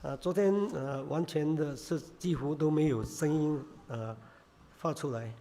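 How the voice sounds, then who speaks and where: A hoarse male preacher delivering a sermon through a cold, his speech halting and thick with filler sounds. His voice sits fairly high and sounds mellow and relaxed rather than bright. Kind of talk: sermon in Chinese.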